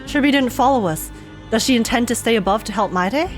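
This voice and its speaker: A woman's voice: fairly high pitch, brisk, faint music behind. A woman streamer giving casual, emotional live commentary on a game in loose fannish slang.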